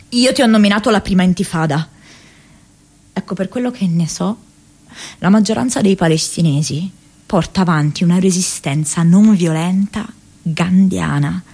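An actress playing an earnest, distressed young woman activist in a radio monologue, impassioned and halting, repeating words as she goes. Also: mid-range voice, lightly acted. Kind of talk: dramatic radio monologue from diaries and letters.

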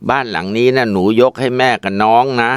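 A man voicing a gentle, dutiful young woman who speaks politely to her mother. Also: mid-range voice, brisk, clean tone, no echo, studio quality, voice pushed up, natural voice. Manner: soft, polite, pleading.

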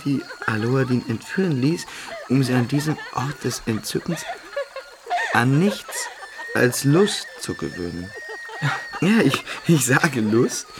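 Giddy, intoxicated, stammering singer. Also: male voice, mid-range voice, creaky, noisy background. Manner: stammering, repeats words, breaks into giggles. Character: young intoxicated singer, giggly, confiding, enraptured storyteller. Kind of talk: German radio play acting.

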